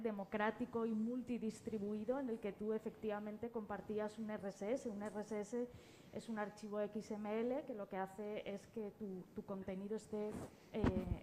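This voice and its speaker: A woman's voice: mid-range voice, mellow, a little hiss or hum, poor audio. A woman from explaining things as a panelist, thinking aloud and repeating words as she goes. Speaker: podcast editor, panelist. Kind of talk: spontaneous panel discussion. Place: live panel at a festival event.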